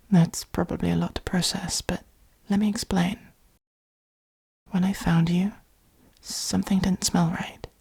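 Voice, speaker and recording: A woman playing a gentle, protective vampire in a comforting ASMR roleplay, whispering softly and breathily with patient reassurance. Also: fairly low pitch, exaggerated voice. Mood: gentle, apologetic. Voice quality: breathy, creaky. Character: gentle, protective adult vampire caring for a fledgling.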